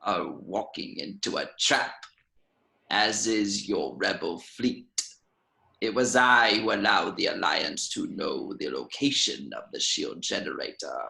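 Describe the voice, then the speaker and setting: Man doing a creaky, sinister old emperor. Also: low-pitched, animated, unhurried, pushed and bright, roomy sound, full character voice. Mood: gloating menace. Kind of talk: film script table read.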